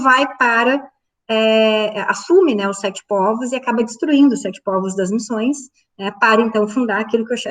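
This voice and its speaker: A woman from giving an academic lecture, pausing often with fillers and checking in with her listeners. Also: on the high side, recorded from a distance. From southern Brazil. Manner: halting, many fillers, checks in with the audience. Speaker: university lecturer.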